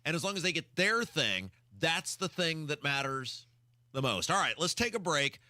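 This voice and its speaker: A man's voice: on the high side, very animated, normal speed, pushed and bright, no echo, studio quality. A man hosting talk radio, giving opinionated commentary in an exasperated, emphatic way with rhetorical questions. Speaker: radio talk show host.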